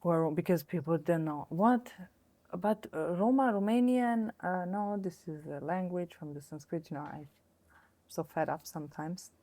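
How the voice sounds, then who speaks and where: A woman's voice: fairly low pitch, moderate pace, mellow. A middle-aged woman from Austria speaking as an interview guest, hesitant, with many fillers and restarts. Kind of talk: casual interview conversation.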